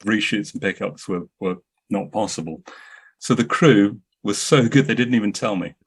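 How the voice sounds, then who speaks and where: A man's voice: lowish voice, normal speed, slightly rough. A man telling anecdotes as a filmmaker guest in a podcast interview, often restarting his sentences.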